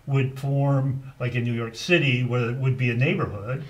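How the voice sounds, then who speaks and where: A man's voice: medium pitch, fairly even intonation, normal speed, low-quality recording. American man, casual encouraging interviewer. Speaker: interviewer, co-host.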